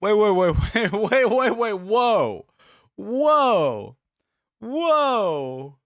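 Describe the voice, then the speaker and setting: A man's voice: high voice, sing-song, extremely slow, no echo, clean studio sound. A young man hosting a reaction video, commenting casually in an amused, informal way.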